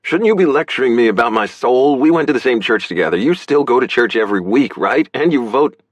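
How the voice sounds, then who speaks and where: A man's voice: low-pitched, moderate pace, dry recording, close to an everyday voice. Man playing a guarded, pained brother, dry sound. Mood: exploding. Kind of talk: audiobook character dialogue.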